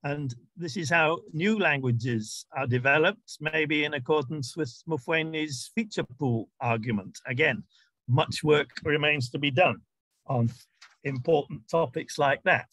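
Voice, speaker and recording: A male university lecturer speaks in a bright, forceful voice pitched a little high for a man. He explains in a measured, somewhat hesitant academic style with informal asides, and the sound is close and dry. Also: dry recording, rough recording.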